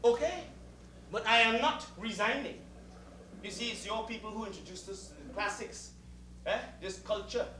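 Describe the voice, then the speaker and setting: An actor playing a proud, meticulous West Indian servant with a Caribbean accent, making formal, emphatic speeches in a theatrical style. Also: male voice, high voice, moderate pace, pushed and bright. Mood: insistent. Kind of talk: theatrical two-hander stage acting. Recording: roomy distant stage recording with hiss.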